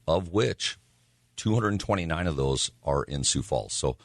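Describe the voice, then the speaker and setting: A man with a hoarse, raspy voice, talking casually as a real estate agent on a radio show, rambling with lots of fillers. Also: very deep voice, animated, a little slow, no echo, clean studio sound. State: hoarse from yelling.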